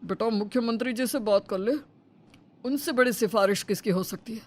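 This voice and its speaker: A woman's voice: a little high, moderate pace, a little mellow, close to an everyday voice. A woman voicing an earnest young husband and devoted son in a Hindi moral story. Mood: pleading.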